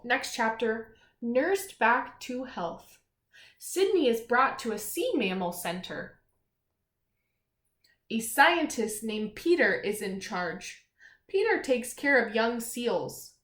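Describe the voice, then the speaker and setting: A woman teacher reading aloud to young children, pausing to explain simply, ask questions and encourage her listeners. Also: a little high, a bit bright. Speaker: elementary school teacher. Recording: echoey room, faint background noise.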